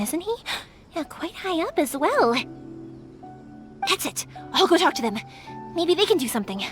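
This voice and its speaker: A woman voicing a bubbly, caring young fireworks maker in an anime video game, impulsive and reassuring. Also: sits high. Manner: bright-spirited, impulsive, reassuring.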